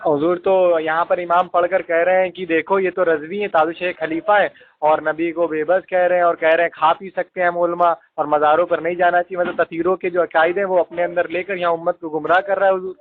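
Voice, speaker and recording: A man's voice: high voice, level tone, fairly quick, no room sound. A man calling by phone, deferential yet insistent as he presses a complaint with repeated honorifics. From Kanpur, northern India. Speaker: caller. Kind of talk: phone argument, pleading complaint. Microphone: telephone. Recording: tinny lo-fi phone call recording.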